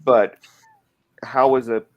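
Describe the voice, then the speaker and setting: A man's voice: mid-range voice, flat delivery, takes it slowly, muffled recording. A man hosting a podcast who talks in a chatty way and explains things at length. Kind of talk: casual podcast chat.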